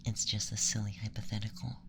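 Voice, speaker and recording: A man playing a curious alien femboy in an ASMR roleplay, speaking softly and deliberately in a gentle, breathy near-whisper. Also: on the low side, flat delivery, noisy background, close to an everyday voice. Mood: gently explaining. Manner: deliberate, gentle, questionnaire-like delivery with pauses. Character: soft-spoken curious alien femboy conducting a formal assessment. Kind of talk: ASMR roleplay, close soft speech and whispering.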